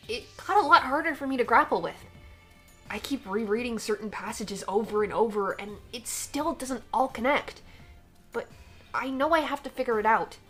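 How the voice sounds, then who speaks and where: Casting: woman to play defiant young alien outcast girl, high voice, guarded and sarcastic, casual delivery, comic fan dub over music. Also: fairly bright tone, slightly rough.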